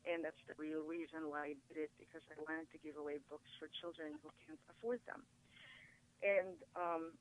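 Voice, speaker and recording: A woman's voice: low voice, low-quality recording. Woman, from the Philippines, native Tagalog, guest author, eager, upbeat, studio interview. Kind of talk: casual interview talk.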